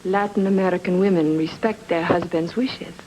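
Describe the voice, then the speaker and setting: An actress playing a poised, coolly flirtatious Latin American wife in a noir radio drama, speaking formally. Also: female voice, on the low side, steady tone, dark tone, a little hiss or hum, natural voice, low-quality recording. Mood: cool intrigue. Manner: formal, measured.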